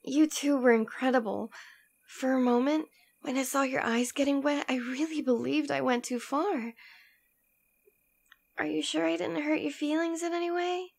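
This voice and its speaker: High-voiced demon queen, acted by a woman. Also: fairly even intonation, vocal fry, a little mellow. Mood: tender, concerned. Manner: theatrical, intimate, deliberate. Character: demon queen, imperious and possessive, secretly tender lover. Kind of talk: scripted ASMR roleplay.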